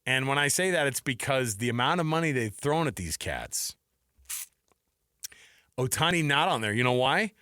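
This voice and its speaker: A man hosts casual sports talk on the radio. His voice sits at an average pitch, and he is close on the microphone in a very dry studio. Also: animated, normal speed, long pauses, bright, forceful tone, no room sound. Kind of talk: casual sports talk, running a list quiz.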